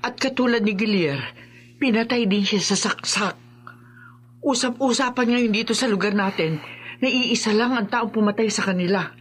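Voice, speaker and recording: A woman's voice: fairly high pitch, normal speed, slightly rough. A woman playing an alarmed village gossip in a horror radio drama, grave and cautioning.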